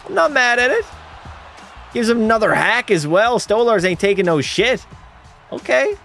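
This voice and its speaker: A male streamer giving casual play-by-play of a hockey game and answering his chat in a relaxed way. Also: very high voice, moderate pace, creaky, faint music behind. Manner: relaxed, drops into terse hockey call mode.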